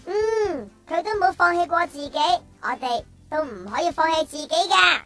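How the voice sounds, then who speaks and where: Very high-pitched, earnest small child. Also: female voice, lively intonation, moderate pace, bright, forceful tone, heavily acted. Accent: Hong Kong Cantonese. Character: small child, earnest, teasing, correcting friend. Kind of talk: children's radio drama acting. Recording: music under the voice.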